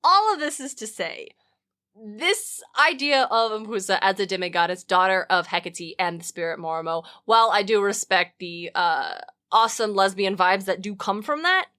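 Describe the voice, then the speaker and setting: A woman's voice: medium pitch, lively intonation, normal speed, bright, forceful tone. Wry, opinionated woman explaining casually. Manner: informal, wry, opinionated, with swearing. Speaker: podcast co-host. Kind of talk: casual, researched podcast explainer.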